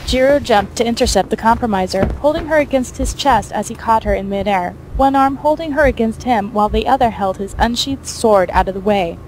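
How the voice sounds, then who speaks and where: A woman's voice: on the high side, fairly quick, few pauses, a little mellow, a little hiss or hum, low-quality recording. A woman reading scripted fiction as the narrator of an audio story.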